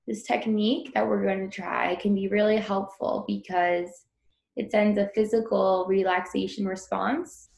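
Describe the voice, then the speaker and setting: A woman leads a guided breathing meditation at a slow, unhurried pace. Her voice has a noticeable creak at an average pitch, and she instructs gently and informally. The sound is roomy, with audible echo.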